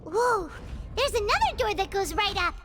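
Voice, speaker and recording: A woman voicing a tiny, excitable, wide-eyed fairy companion with a childlike squeak in a video game. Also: normal speed, noisy background. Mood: astonished. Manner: squeaky, wide-eyed, refers to self in third person. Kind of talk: cartoonish video game dialogue. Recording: long reverb, clear music behind.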